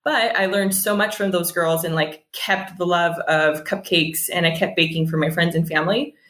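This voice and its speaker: A woman's voice: fairly low pitch, moderate pace, reverberant. An American woman in her thirties chatting casually as a podcast guest, telling stories and acting out bits of dialogue. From San Jose, California, United States. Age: 30s. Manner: chatty, animated quoting of dialogue, laughs often. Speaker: podcast guest, bakery owner. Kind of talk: casual conversational storytelling.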